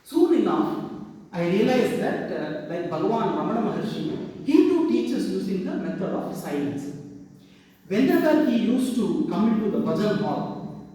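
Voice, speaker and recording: A man's voice: high-pitched, very animated, moderate pace, dark tone. A middle-aged man from India with a South Indian accent and Tamil as his native language, giving a prepared devotional speech in a formal, earnest manner. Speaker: devotee giving a memorial talk. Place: large reverberant ashram hall. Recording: very echoey hall, distant sound.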